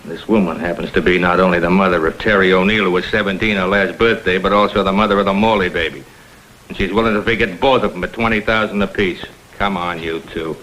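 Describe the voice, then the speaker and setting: A man playing a brisk, stern investigator in a vintage crime drama. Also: low-pitched, fairly even intonation, fairly bright tone, low-quality recording. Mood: stern, matter-of-fact. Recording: old soundtrack with faint hiss.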